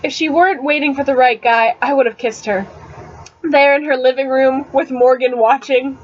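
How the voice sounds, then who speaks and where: A woman's voice: high-pitched, fairly even intonation, normal speed. A woman giving an amateur dramatic reading of a novel, prone to giggles and stumbles, recorded on a webcam microphone. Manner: breaks into giggles and stumbles over words. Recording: dull, noisy webcam audio.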